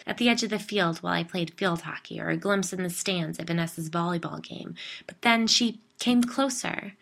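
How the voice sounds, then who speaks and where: A woman reading a story voices an anxious, defensive suburban woman who is haunted by a ghost and pleads in a high, strained voice. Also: creaky, husky.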